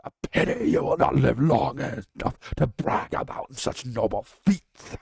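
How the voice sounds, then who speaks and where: A colossal ancient dragon, howling with rage and grief. The bellowed threats come slow and drawn out, with a gravelly rasp under them, recorded dry and close. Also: male voice, mid-range voice, expressive, a little mellow, no room sound, pitched above the performer's own voice, exaggerated voice. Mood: scornful roaring. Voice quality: howling, roaring delivery. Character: colossal ancient male dragon, raging and grieving.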